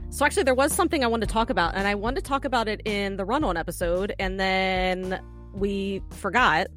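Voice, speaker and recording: A woman's voice: mid-range voice, brisk, soft background music. A woman from the US East Coast with an East Coast accent, co-hosting a casual podcast chat and telling stories with frequent laughter. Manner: laughs often, animated storytelling. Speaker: podcast co-host.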